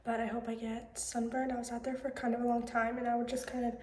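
A woman's voice: fairly high pitch, flat delivery, fast talker, darkish tone, roomy sound. A young American woman chatting casually to the camera as a vlogger, recorded on a phone held at arm's length. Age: teens to young adult. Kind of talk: casual vlog chat to camera.

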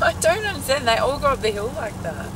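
Giggly high-pitched young woman vlogger. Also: fast. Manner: giggly, excitable. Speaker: vlogger, passenger. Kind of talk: casual travel vlog chat. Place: inside a car. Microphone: handheld camera. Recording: noisy car interior, road rumble.

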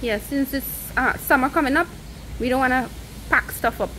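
A woman co-hosting a casual cooking chat, playful and quick to laugh. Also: sits high, bright, forceful tone, slight background noise, low-quality recording. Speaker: co-host.

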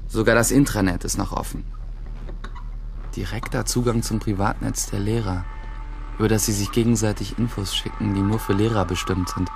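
A man's voice: on the low side, steady tone, normal speed, vocal fry, darkish tone, a little hiss or hum. An actor playing a cocky, computer-savvy teenage schoolboy who narrates his day in a radio drama. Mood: tempted, excited.

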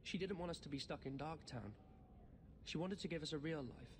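A man's voice: on the high side, normal speed, a little mellow, close to an everyday voice. An actor playing a defensive, protective orphaned refugee boy in a video game, guarded and curt. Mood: bitter. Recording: reverberant game audio with background noise.

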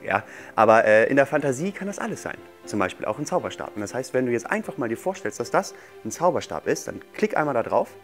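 Playful man with a mid-pitched voice. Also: sing-song, fast talker, soft background music. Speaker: magician, show guest. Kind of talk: casual demonstration patter for children. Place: small furnished TV studio set, indoors.

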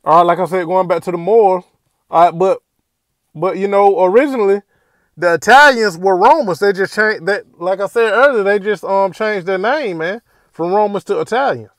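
Male commentator, high voice, dry room, loose rambling delivery. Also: moderate pace, no room sound. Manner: rambling, repeats "all right" and "man" as fillers. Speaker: commentator reacting to a street video. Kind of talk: casual spoken commentary over a video.

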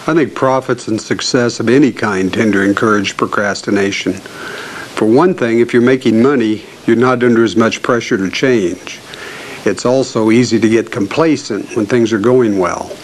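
A man, a farm management expert, speaking in steady, fluent, prepared commentary. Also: fairly low pitch, a little hiss or hum, rough recording. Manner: measured, fluent delivery.

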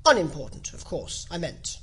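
A woman's voice: deep voice, sing-song, moderate pace, a bit bright, breathy edge, full character voice. A woman voicing a pompous, muddled King, with a grave and emphatic manner. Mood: blustering.

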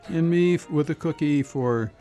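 A man voice actor stumbles through script lines in takes. His voice is slightly high, with clear music in the background. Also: moderate pace. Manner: stumbles over words.

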